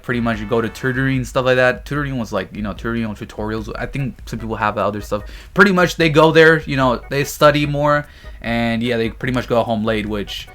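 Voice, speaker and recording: A man's voice: mid-range voice, fairly bright tone. A young man from Texas chatting casually and jokingly to his viewers, in loose, slangy storytelling. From Texas, United States. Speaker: vlogger. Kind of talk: casual comedic chat.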